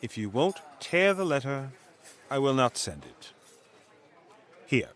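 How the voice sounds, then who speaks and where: A scheming villain in a period radio play. He speaks slowly and with relish, his pitch sliding expressively as he manipulates and condescends. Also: big pitch swings, a bit bright. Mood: manipulative, mock-resigned. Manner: smooth-talking, deliberate, manipulative. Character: sly, scheming, condescending man plotting a rival's downfall. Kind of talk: dramatic audio fiction acting.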